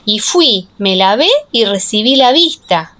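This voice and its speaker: An earnest beggar man of scripture drama, voiced by a woman, tells things simply and plainly. The voice is mid-pitched and matter-of-fact. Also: expressive, measured pace, pushed and bright. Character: plain-spoken healed blind beggar man. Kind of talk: audiobook radio-theatre dialogue.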